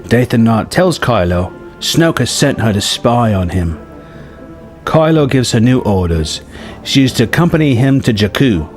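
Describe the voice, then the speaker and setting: Man narrating with lively, swinging pitch. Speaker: narrator reading a film story treatment. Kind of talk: dramatic scripted narration with acted dialogue.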